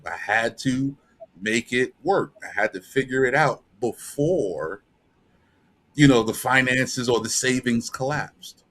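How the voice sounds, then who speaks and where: Man, medium pitch, casual podcast conversation, informal delivery. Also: fairly bright tone. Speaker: podcast participant, expat.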